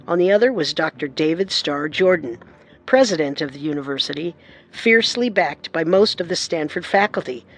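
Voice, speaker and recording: A woman narrating a true-crime radio drama in a measured, suspenseful scripted style. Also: sits low, animated. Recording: music bed beneath narration.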